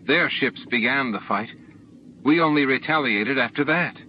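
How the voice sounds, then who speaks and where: A man's voice: medium pitch, normal speed, a little hiss or hum, voice pushed up, lightly acted. A man voicing a guilt-ridden, protective male alien in an audiobook. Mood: reluctant. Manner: earnest, troubled. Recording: dull lo-fi audiobook tape recording.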